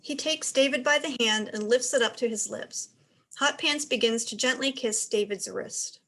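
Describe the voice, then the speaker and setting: A woman's voice: a little high, moderate pace, bright, forceful tone. A woman narrator reading stage directions aloud as scripted narration.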